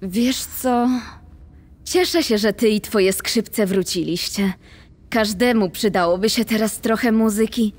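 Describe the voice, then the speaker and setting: A woman voicing an elegant, weary, motherly cat who runs a speakeasy, in a cartoon dub, measured and gently chiding. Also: medium pitch, close to an everyday voice. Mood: weary, patient. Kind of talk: animated cartoon dub acting.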